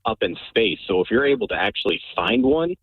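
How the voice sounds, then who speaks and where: A man's voice: on the low side, sing-song, fairly quick, slightly rough, no echo. A man calling in to a radio show, earnestly explaining something at length over a phone line. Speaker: caller. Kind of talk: call-in radio chat.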